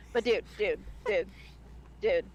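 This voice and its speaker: A woman with a nasal Midwestern American accent, chatting excitedly as a guest on a livestream and swearing freely. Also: mid-range voice, slow pace. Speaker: guest voice actor. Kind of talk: casual livestream chat.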